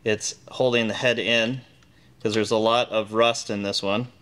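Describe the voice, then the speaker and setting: A man's voice: on the low side, level tone, unhurried, a bit bright, clear, resonant tone. A middle-aged man hosting a workshop show, explaining plainly and step by step as he works with his hands in a large indoor garage. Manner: plain, matter-of-fact explaining while working. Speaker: host.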